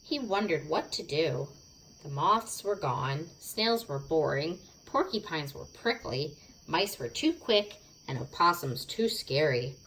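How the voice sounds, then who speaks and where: A woman's voice: deep voice, animated, slow, fairly bright tone, a little hiss or hum, low-quality recording. A woman narrating a children's storybook expressively, stretching words for dramatic effect. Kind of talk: expressive children's read-aloud.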